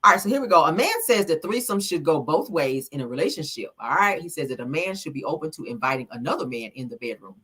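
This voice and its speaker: Bright-voiced outspoken woman host. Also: deep voice, expressive, fast talker, hardly any pauses, husky. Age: 30s. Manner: blunt, preachy, talks to chat. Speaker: live show host. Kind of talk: casual live-stream commentary.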